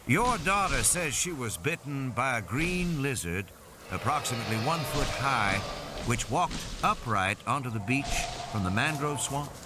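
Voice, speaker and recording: A man's voice: mid-range voice, big pitch swings, measured pace, heavy background noise, voice pushed up. A man voicing a friendly, confident American field biologist who explains things with assurance. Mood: assured, easygoing.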